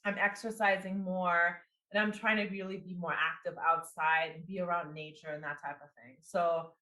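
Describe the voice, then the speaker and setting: A woman in her forties speaks loosely as an interview guest, trailing through fillers and restarted phrases. Her voice is bright and forward. The recording carries a noticeable room echo. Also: on the low side, flat delivery, normal speed. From immigrant. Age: 40s. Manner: rambling, with many fillers and restarts. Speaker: guest, business coach and marketing executive. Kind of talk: casual interview talk.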